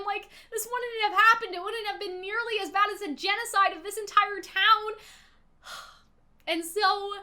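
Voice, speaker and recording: Very high-pitched, bright-voiced woman commentator. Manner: emphatic, exasperated, swears freely, often checks in with "right?". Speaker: vlogger, story analyst. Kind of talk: casual, opinionated story commentary.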